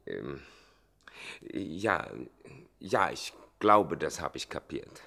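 An actor playing a fussy, slow-witted landlord in a 1960s German radio comedy, formal, pedantic and easily affronted. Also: male voice, rumbling low, animated, gravelly, pushed and bright, heavily acted. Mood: puzzled.

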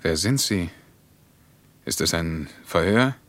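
A male traveller in a radio drama who talks in short, questioning phrases. His voice sits low. The recording is dry and close. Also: animated, takes it slowly, fairly bright tone, dry recording, full character voice. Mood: wary. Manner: terse, questioning.

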